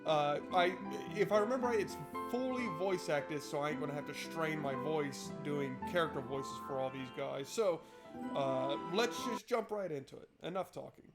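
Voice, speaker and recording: A man's voice: on the high side, normal speed. A man hosting a let's play, chatting informally and playfully and reading game text aloud. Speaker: gaming video host. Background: game music.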